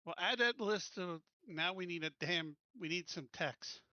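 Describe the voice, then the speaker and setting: Guy player in a tabletop game, kinda high bright voice, blunt, dry slightly muffled mic. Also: a little slow, no echo. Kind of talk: casual chat during a game.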